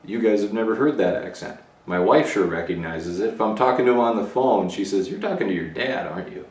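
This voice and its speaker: Middle-aged man, relaxed rambling workshop talk, recorded off a camera mic across a roomy garage. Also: lowish voice, fairly quick, recorded from a distance. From Minnesota, USA. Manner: relaxed, musing, thinks aloud with fillers. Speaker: motorcycle builder and channel host. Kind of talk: casual workshop vlog. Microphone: camera mic, moving around the room.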